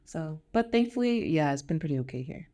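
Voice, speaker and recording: A woman's voice: deep voice, lively intonation, brisk, dark tone. A woman with an American accent chatting casually as a podcast guest, in a conversational way. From United States. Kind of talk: casual chat.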